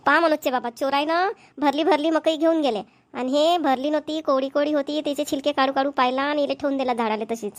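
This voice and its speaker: A woman acts a fretful village mother and farm wife in a cartoon comedy. The voice is high and plaintive, with a habit of repeating things. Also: fairly even intonation, fast, lightly acted. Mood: indignant.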